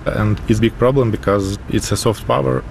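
A young male lawyer explaining things earnestly in halting English, full of fillers. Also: fairly low pitch, fairly even intonation, creaky. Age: young adult. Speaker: lawyer interviewee. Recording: outdoor street noise.

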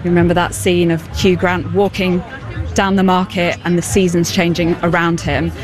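A woman's voice: lowish voice, little pitch movement, moderate pace, soft background music. A woman working as a field reporter, talking to people on a city street.

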